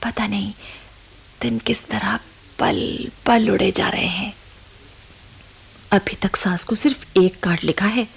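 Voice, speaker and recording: भर्राई, खोई-खोई नवविवाहिता युवती की आवाज़. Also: female voice, mid-range voice, exaggerated voice, rough recording. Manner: breathy, musing, intimate. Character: dreamy, fond young newlywed composing a letter. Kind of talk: Hindi radio play monologue.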